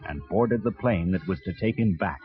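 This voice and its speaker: Very deep male story narrator. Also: moderate pace, over loud music. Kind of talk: scripted children's story narration. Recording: dull vintage cassette.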